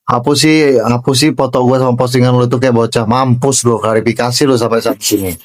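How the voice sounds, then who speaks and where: A man's voice: medium pitch, fairly even intonation, fairly quick. A man in a live-stream gossip chat, stammering and repeating words, scornful when he tells a story.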